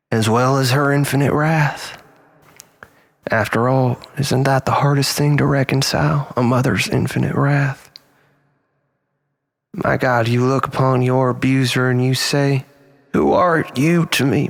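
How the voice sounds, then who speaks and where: Creaky-voiced man in a theatrical, brooding monologue. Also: mid-range voice, fairly bright tone. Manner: theatrical, brooding, often dropping to breathy near-whispers. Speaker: storyteller, monologist. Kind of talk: dramatic confessional spoken-word monologue.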